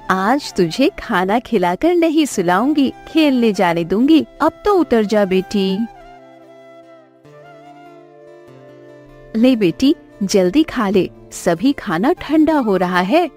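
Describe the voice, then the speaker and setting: A woman playing a high-pitched, protective village mother. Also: lively intonation, unhurried, hardly any pauses, a little mellow, faint music behind. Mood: tender, coaxing. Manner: emphatic, pleading, scolding. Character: fisherman's poor wife, fiercely protective, tender adoptive mother. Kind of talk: Hindi cartoon story acting.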